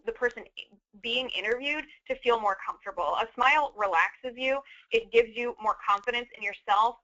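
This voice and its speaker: Bright-voiced woman presenting advice, muffled audio. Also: a little high. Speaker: career webinar co-presenter. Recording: dull, band-limited audio.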